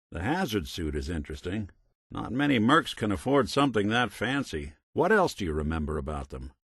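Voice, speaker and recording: A man's voice: fairly low pitch, fairly bright tone, breathy edge, no echo, studio quality. A man playing a world-weary android private detective in a video game, tough but kind, with wry, clipped hardboiled phrasing. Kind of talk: noir detective game dialogue.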